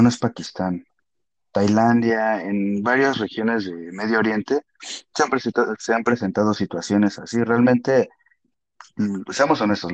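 A man's voice: fairly low pitch, a little mellow. A man, a podcast co-host, explaining matter-of-factly in casual chat. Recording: dull lo-fi sound.

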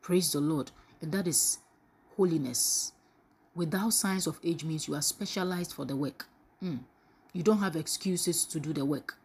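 Woman preacher, lowish husky voice, questioning the listeners and acting out their excuses. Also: moderate pace, a little mellow. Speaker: preacher leading a daily devotional. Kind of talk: live devotional sermon.

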